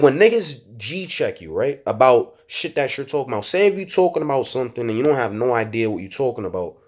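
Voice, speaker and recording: A man's voice: medium pitch, a little mellow. A young man talking frankly and reflectively to his viewers in street slang, stuttering and restarting his sentences often. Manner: frank street slang, frequent "you know what I'm saying". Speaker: rapper and YouTuber. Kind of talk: casual reflective monologue to viewers.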